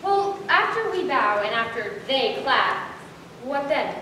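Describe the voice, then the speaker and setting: A woman's voice: high voice, very animated, takes it slowly, crowd noise. A teenage girl performing readers theater on a school stage, reasoning with the group in an earnest, conversational way. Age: teens. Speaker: high school student performer. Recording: echoey hall from far off.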